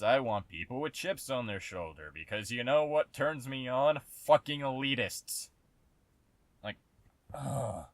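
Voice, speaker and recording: A man giving a casual, sarcastic commentary rant, exasperated and swearing heavily, with halting, emphatic repeats. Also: medium pitch, no room sound. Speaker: host of a commentary channel.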